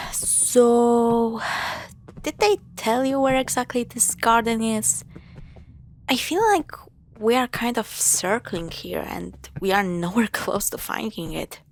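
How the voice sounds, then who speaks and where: A woman playing a caring, playful young girlfriend in a spooky audio roleplay, talking straight to her partner in halting conversational phrases with sighs. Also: a little high, slight background noise. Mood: playful, reassuring. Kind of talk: spoken roleplay to the listener.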